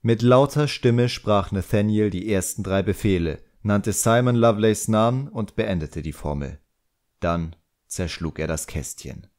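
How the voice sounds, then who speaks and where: Low-voiced man reading an audiobook. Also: measured pace, a little mellow. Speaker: audiobook narrator. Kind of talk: scripted narration of a fantasy novel.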